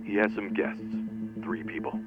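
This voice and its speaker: A man plays a worried, loyal underling in a video game crime drama. His low voice comes through dull and muffled, anxious and reluctant, under loud music. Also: completely flat delivery, normal speed, lightly acted. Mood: resigned. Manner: anxious, pleading. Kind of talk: English video game dub acting.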